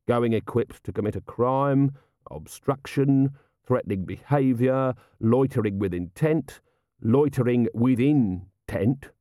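A man voicing a gruff, sardonic watch commander with a blunt, dry manner in a storybook reading. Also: medium pitch, measured pace, warm tone, no room sound, pitched above the performer's own voice, lightly acted. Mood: manic, relishing. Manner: blunt, dry, snarling when pressed.

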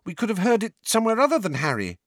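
A man's voice: high voice, wildly swooping pitch, fast, fairly bright tone, no room sound, studio quality, voice pushed up, exaggerated voice. A man voicing a teenage girl who pleads urgently, in an audiobook reading.

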